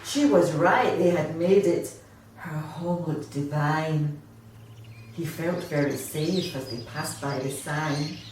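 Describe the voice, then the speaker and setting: A woman reading a rhyming children's picture book aloud in an expressive, theatrical storytelling manner. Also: low voice, takes it slowly. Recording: echoey room, distant mic, faint noise.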